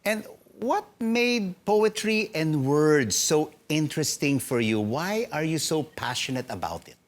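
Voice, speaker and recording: A male news anchor in a TV studio, asking measured interview questions with frequent ums. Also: a little high, lively intonation. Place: television studio.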